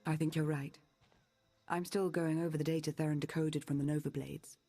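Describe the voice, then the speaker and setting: A composed, analytical woman who talks in a measured, precise way. Her voice is lowish with a husky edge. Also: flat delivery, mellow. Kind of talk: video game voice acting.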